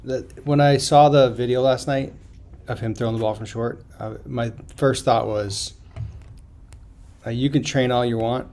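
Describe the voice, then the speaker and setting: A man's voice: mid-range voice, steady tone, unhurried, darkish tone, slight background noise. A man co-hosting a casual sports podcast, laid-back and hesitant with fillers. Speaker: podcast co-host.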